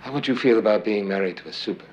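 An actor playing an unemployed husband who talks gruffly and sarcastically. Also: male voice, lowish voice, very fast, highs cut off, a little hiss or hum, lightly acted. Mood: gentle. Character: unemployed husband, proud and frustrated. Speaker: out-of-work husband.

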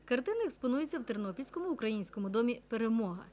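A woman's voice: fairly high pitch, sing-song, very fast, a little mellow. A woman reporter reading scripted narration for a television news report.

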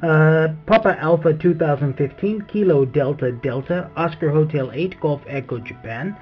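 A man calls in from his home ham radio station, upbeat and thankful, reading call signs in the phonetic alphabet. His voice sits a little high. The sound is dull and lo-fi, with faint music underneath. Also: moderate pace. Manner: friendly, effusive, spells with phonetic alphabet. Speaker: ham radio operator calling in. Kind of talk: ham radio contact over the air.

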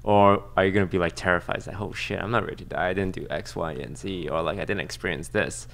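A man's voice: low-pitched, steady tone, fast, creaky, a bit bright. A thirty-year-old man musing informally as a podcast host, with casual swearing. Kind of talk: casual chat. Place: small indoor podcast studio. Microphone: close desk microphone.

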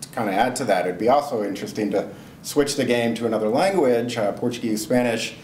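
A man's voice: medium pitch, a little fast, darkish tone, a little hiss or hum. A middle-aged man answering audience questions after his research talk, casual and thinking aloud with fillers. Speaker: presenter. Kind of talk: academic Q&A answer. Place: seminar room, indoors. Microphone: far camera. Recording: echoey room.

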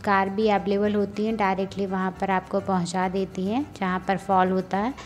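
Chatty woman vlogger, flat delivery. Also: medium pitch, moderate pace. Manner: chatty, guiding viewers, mixes in English words. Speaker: travel vlogger. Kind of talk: casual travel vlog narration.

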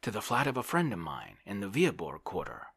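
Storybook bully voiced by a man: brash, hectoring, swooping emphatic delivery, sharp tone. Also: on the low side, huge pitch swings, a little fast, creaky, breathy edge, voice pushed up, exaggerated voice. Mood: blustering, bossy. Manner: blustering, scolding, bossy. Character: brash, bullying, grasping Russian man, hectoring his friend. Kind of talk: audiobook character voice.

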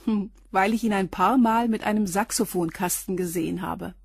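Reserved concierge. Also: female voice, medium pitch, moderate pace, creaky, husky, dry recording, clean studio sound. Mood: matter-of-fact. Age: 40s. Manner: short, matter-of-fact answers. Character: reserved concierge around forty. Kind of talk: radio drama acting.

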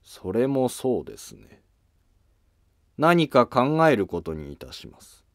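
A man's voice: medium pitch, very animated, takes it slowly, dry recording, studio quality. A man playing a cautious senior court minister who talks in a guarded, polite way.

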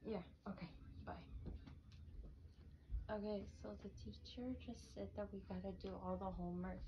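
A woman improvises an ASMR girlfriend roleplay in a mid-pitched voice. She speaks hesitantly and doubles back on her words. The recording is dull and lo-fi, with clear noise behind her. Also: steady tone. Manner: hesitant, halting, repeating words. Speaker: amateur roleplay performer. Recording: noisy, muffled lo-fi home recording.

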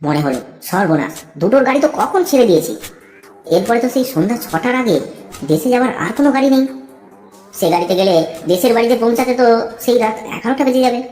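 A woman narrating a scripted first-person horror story in a dramatic, deliberate storytelling manner. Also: a little high, animated, darkish tone, echoey room, soft background music.

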